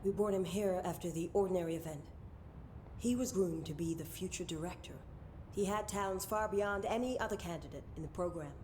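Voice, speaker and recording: A stern senior government agent in a video game, played by an actress. She speaks in a fairly low voice, formal and clipped, keeping things close to the chest. A clear rumble runs behind her. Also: fairly quick, darkish tone, close to an everyday voice. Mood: grave, measured. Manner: formal, clipped, matter-of-fact. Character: stern, secretive female senior agency official. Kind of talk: video game cutscene dialogue.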